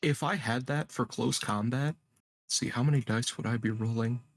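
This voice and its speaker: A man's voice: medium pitch, moderate pace, no echo, clean studio sound. A man playing a tabletop game, talking eagerly and bluntly. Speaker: player. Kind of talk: casual game chat.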